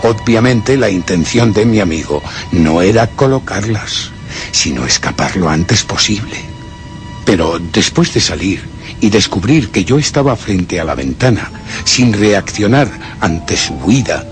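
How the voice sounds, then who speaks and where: A man acting a brooding, vengeful gentleman who narrates a suspense radio drama in a measured, theatrical way. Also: low-pitched, full character voice. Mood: grim deduction. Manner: measured, theatrical, deliberate storytelling.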